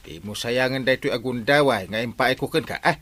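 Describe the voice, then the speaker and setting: An Ilocano man playing a firm, scolding village captain in a radio comedy drama, admonishing with drawn-out interjections. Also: medium pitch, a bit bright, lightly acted.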